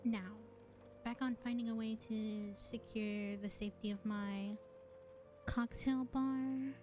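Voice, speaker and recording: Woman as a slow, sardonic, sly vampire. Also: on the high side, dark tone. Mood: weary. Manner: sardonic, condescending, drawling with deliberate pauses. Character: sly, teasing, self-serving vampire bar owner. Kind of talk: scripted audio roleplay acting addressed to listener.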